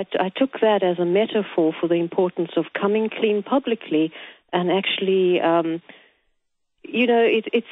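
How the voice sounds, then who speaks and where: A woman's voice: medium pitch, no echo. A woman who is a guest columnist giving opinions in a radio interview, hesitant and full of ums but emphatic. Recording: dry phone-line audio.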